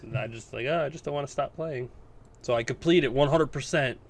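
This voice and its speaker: A man's voice: mid-range voice, a little fast. A man co-hosting a podcast, talking in a casual, conversational way. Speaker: podcast co-host.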